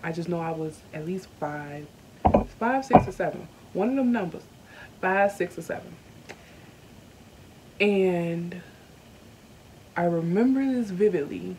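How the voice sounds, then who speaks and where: A young woman from the South, candidly telling a personal story on her vlog, earnest and slowed by emotion. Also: lowish voice, lively intonation, noisy background. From Southern United States. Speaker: YouTuber. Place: indoors at home.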